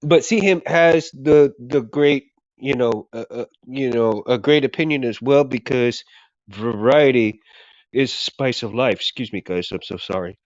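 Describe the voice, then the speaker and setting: A man's voice: medium pitch, a little slow, no room sound, highs cut off. A man hosting a casual livestream who is losing his voice, rambling and repeating himself as he thanks his viewers. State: hoarse, losing his voice, coughing. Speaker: livestream host.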